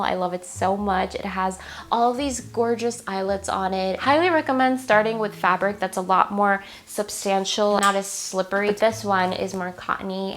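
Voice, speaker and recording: A woman's voice: medium pitch, slight background noise. A young woman in her twenties chatting upbeat to camera in her small home sewing studio, her voice a little worn. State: slightly losing her voice. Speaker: sewing vlogger. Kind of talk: casual vlog talk to camera.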